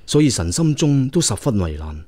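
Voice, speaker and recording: A man's voice: medium pitch, very animated, normal speed, warm tone. A man playing a loyal prince, the emperor's younger brother, advising him earnestly and deferentially in a radio drama. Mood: indignant. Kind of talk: Cantonese radio drama acting.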